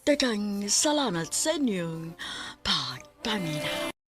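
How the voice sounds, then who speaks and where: Female Cebuano radio announcer, slow scripted delivery, medium pitch, faint music bed. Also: very animated. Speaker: radio drama announcer and narrator.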